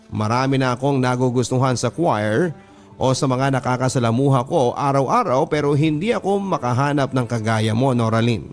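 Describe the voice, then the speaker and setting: A man with a Filipino accent hosting a radio story program, reading listener letters as dramatic first-person narration. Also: mid-range voice. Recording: music under the voice. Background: background music.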